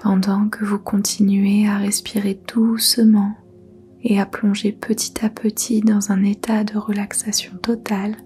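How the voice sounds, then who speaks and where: A woman leading a guided yoga nidra meditation in a soft, breathy near-whisper, soothing and gentle. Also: mid-range voice. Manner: soothing, gentle, unhurried instruction.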